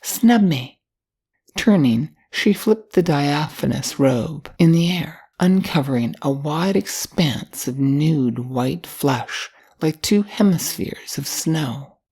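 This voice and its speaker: A woman's voice: low-pitched, animated, slow, few pauses, warm tone, breathy edge. An older woman narrating a short story from a script as an audiobook reader.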